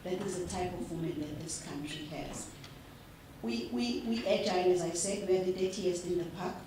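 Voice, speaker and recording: A woman speaks earnestly and with emphasis to a gathering. Her voice sits a little low and rings through a very echoey room. It was picked up from afar, with room noise behind. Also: moderate pace, a little mellow. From South Africa. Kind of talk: impromptu speech to a gathering. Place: function room at a breakfast event. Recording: echoey room, far from the camera.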